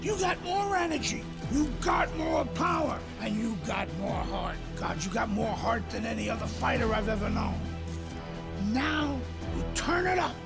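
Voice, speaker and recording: A gravelly boxing cornerman in a video game, urgent and devoted. His high voice leaps around with fiery encouragement, over very loud music. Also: big pitch swings, normal speed, heavily acted. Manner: urgent, exhortative.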